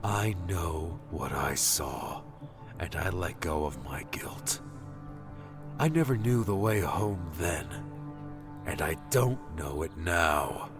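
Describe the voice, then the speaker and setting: Man playing an earnest young hero, lowish voice. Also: lively intonation, slow pace. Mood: defiant, sincere. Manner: earnest, resolute. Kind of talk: audiobook character dialogue. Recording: background music under the voice.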